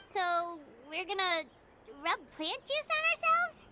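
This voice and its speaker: A woman voicing a tiny, fussy fairy companion in a video game, with a cute, squeaky, childlike voice. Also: big pitch swings, takes it slowly, heavy background noise, exaggerated voice. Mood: squeamish, curious.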